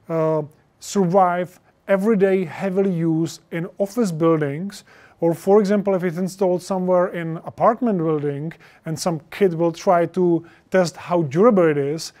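A man's voice: sits high, a little mellow. A man in his thirties presenting a product in an explanatory way, with non-native English phrasing, on a lapel mic. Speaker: product presenter for an intercom maker. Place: indoor studio stage with projection screen.

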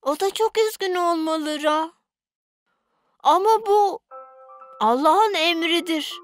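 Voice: very high voice, takes it slowly, fairly bright tone, faint music behind, full character voice. An actor voicing a caring, devout, cheerful young older brother in a children's cartoon dub. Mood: caring, earnest.

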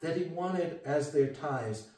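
An older man gives an earnest sermon, his voice warm and mellow with a slightly raised pitch. He is heard from across a living room through a distant camera mic, with strong echo around him. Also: lively intonation, slow pace. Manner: earnest, gesturing, rises for emphasis and drops quiet. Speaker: pastor. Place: home living room with guitars and a TV. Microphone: far camera. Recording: echoey room from far off.

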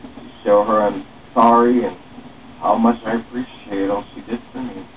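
A young man giving an animated amateur dramatic reading of his own story, with dialogue, in a small room. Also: on the low side, normal speed. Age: young adult. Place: small cluttered room indoors. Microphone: distant camera microphone. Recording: muffled phone-like webcam, distant mic, faint hiss.

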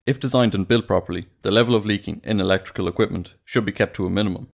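A male narrator delivers a scripted educational explainer in a low voice with noticeable vocal fry. He moves briskly through the material in an explanatory manner. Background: background music.

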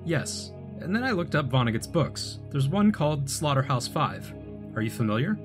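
An actor playing an earnest wanderer unstuck from time, explaining himself in a measured way in an audio drama. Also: male voice, a little high, very animated, music in the background. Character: earnest male time-unmoored wanderer recounting his strange life. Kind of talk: audio drama acting, calm storytelling.

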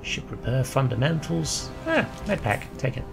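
A man's voice: mid-range voice, very animated, moderate pace, creaky. A man, chatting casually while playing a game and losing his voice. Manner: chatty, reads notes aloud. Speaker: gamer commentator. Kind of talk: casual let's play commentary. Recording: gameplay video with game music.

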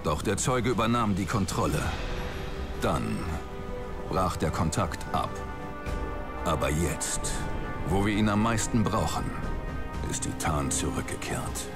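A man playing a grave, resolute military commander in a video game, speaking with solemn, measured authority. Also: sits low, animated, over loud music, exaggerated voice. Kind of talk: scripted game narration and mission briefing.

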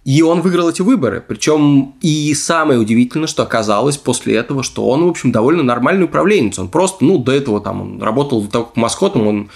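A man's voice: medium pitch, lively intonation, fast, vocal fry, hardly any pauses, darkish tone. A man hosting a podcast in a casual storytelling style, with wry, hedging asides. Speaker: podcast host.

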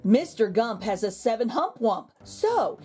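A woman reading a picture book aloud to young children, with expressive, exaggerated intonation and drawn-out words. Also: on the high side, husky. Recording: background music under the voice. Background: music.

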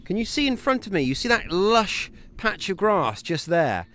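A man's voice: high-pitched, sing-song, normal speed, a bit bright. A man in his thirties vlogging outdoors while walking a grassy paddock, chatty and enthusiastic as he points things out. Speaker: homesteading channel host. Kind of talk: walking vlog, mushroom foraging tips. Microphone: phone held at arm's length.

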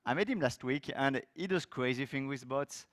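A middle-aged man from France with a French accent, giving a technical talk on a conference stage with loose, lively phrasing and many fillers. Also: medium pitch, moderate pace, no room sound, clean studio sound. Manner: loose, lively delivery with frequent fillers and restarts. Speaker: conference speaker. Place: large conference hall stage.

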